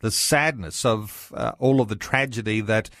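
A man's voice: on the low side, normal speed, fairly bright tone, no room sound. Male radio host, dry close sound. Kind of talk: measured, reflective radio interview.

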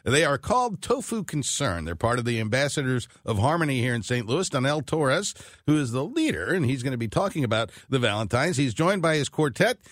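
A man's voice: medium pitch, big pitch swings, fairly quick, hardly any pauses, a bit bright, no room sound. A male radio talk show host chatting live in the studio, upbeat and jokey. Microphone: studio microphone.

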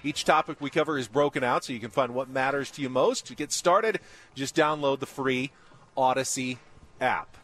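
A man's voice: medium pitch, moderate pace, fairly bright tone. An American man hosting a live sports radio interview on location at a training camp.

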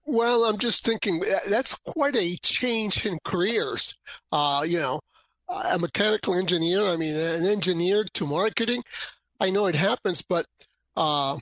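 A co-host on a talk show, asking the guest a question while searching for words with many pauses. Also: medium pitch, fairly bright tone, no room sound, rough recording. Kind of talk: radio talk show interview.

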